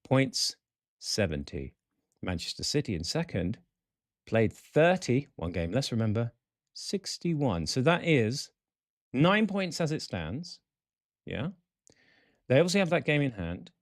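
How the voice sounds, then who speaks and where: A croaky-voiced man hosts a football fan show in a casual, chatty way. He runs through numbers and ratings, breaking off into quick opinions. His middle-pitched voice sits close and dry, with no room sound. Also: big pitch swings, dry recording. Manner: reads out stats with chatty asides. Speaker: football podcast host.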